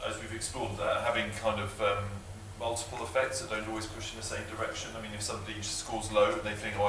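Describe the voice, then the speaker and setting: A man on a panel with a naturally loud voice, speaking informally and with some hesitation, away from the microphone. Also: fairly low pitch. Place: large parliamentary committee room. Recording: roomy, distant, with background noise.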